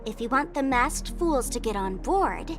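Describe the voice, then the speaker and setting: A woman's voice: a little high, animated, normal speed, lightly acted. An actress playing a sly, taunting young woman trickster in a video game, with a theatrical, teasing delivery. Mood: smug. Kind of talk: video game character acting. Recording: music playing behind the voice.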